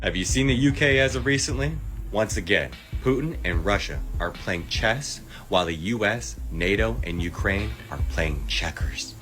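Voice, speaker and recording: A deep, low-pitched man talking straight to the camera in an insistent, sarcastic rant. He piles up rhetorical questions and keeps pressing his point. A faint bed of music sits under his voice. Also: lively intonation, moderate pace, hardly any pauses, fairly bright tone. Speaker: TikTok commentator. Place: clip played within a compilation.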